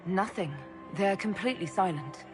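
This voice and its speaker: An actress playing a composed, patient female leader in a video game, measured and understated in manner. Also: medium pitch, music in the background, close to an everyday voice. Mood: guarded.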